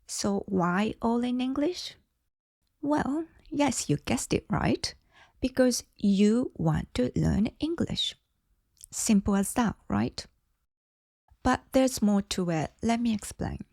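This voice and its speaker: A middle-aged Japanese woman with a Japanese accent, hosting an English lesson and speaking slowly and deliberately. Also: fairly high pitch, big pitch swings, darkish tone, no room sound, clean studio sound. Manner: slow, deliberate, carefully clear delivery. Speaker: English teacher and channel host. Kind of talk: slow scripted English lesson talk.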